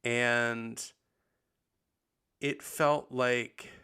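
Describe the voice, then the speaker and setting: A man hosting a podcast in casual confessional chat, sounding husky and tired after bronchitis, rambling with lots of likes and ums. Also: mid-range voice, steady tone, drawn-out words, pushed and bright. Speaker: podcast host.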